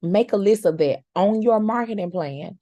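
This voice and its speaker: A woman with a slightly hoarse, raspy voice, coaching her audience in a chatty, encouraging way with informal slang. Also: mid-range voice, normal speed, warm tone, dry recording. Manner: chatty, encouraging, repeats words for emphasis. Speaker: content coach. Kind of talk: casual coaching talk.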